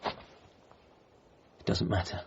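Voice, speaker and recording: A man's voice: extremely slow, muffled recording. A man acting an agitated, stammering, grieving son who is convinced of an alien conspiracy in a radio comedy drama. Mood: subdued, resolute. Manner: stammering, insistent.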